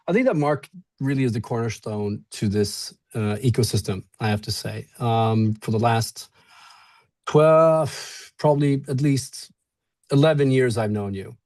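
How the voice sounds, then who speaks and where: A man from Sweden with no clear accent, talking in a relaxed, friendly way with many fillers on a webinar panel. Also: medium pitch, unhurried. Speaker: event organizer.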